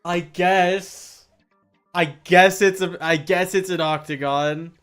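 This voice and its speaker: A man's voice: high voice, steady tone, takes it slowly, a bit bright, smooth, soft background music. A man chatting casually on a game livestream, swearing and exasperated, prone to hyperbole. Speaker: streamer.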